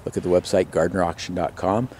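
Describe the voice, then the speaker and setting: A man's voice: low-pitched, steady tone, quick pace, mellow. A man giving a casual, unscripted walk-around narration as an auctioneer promoting a sale. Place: large warehouse showroom, later outdoor lot. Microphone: handheld camera mic, operator behind camera. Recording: camcorder audio with faint background noise.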